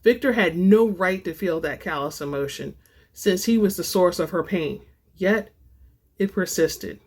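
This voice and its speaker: Expressive woman hosting a book reading. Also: on the low side, a little slow, husky. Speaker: host and reader of book samples. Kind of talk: casual chat and dramatic book narration.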